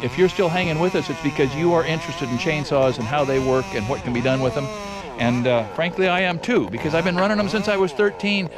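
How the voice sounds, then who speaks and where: A man in his sixties from Oregon, hosting and narrating in a measured, reflective way. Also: on the high side, a crowd in the background. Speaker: host. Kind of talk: casual chat and voice-over narration. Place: indoor wooden workshop.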